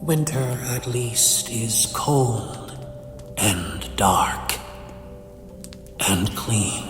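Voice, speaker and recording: A man playing a cold, bitter, cerebral supervillain in a comic dub, speaking in a theatrical, condescending, verbose manner. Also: medium pitch. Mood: brooding, icy. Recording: echoey hall reverb, faint music behind.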